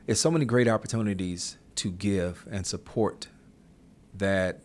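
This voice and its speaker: A man hosting a casual conversation, relaxed and reflective, often affirming his guest. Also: sits low, a little slow. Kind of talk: casual chat.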